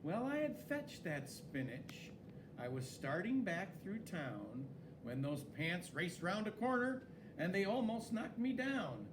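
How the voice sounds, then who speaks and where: A man reading a children's story aloud in an expressive, dramatic way, with swooping emphasis. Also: high voice, measured pace, background noise. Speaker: story reader.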